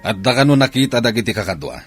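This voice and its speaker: An actor with a Filipino accent playing an earnest, husky-voiced soldier readying his comrades for battle in a radio drama. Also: mid-range voice, sing-song, fast, music in the background, natural voice. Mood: earnest, urgent.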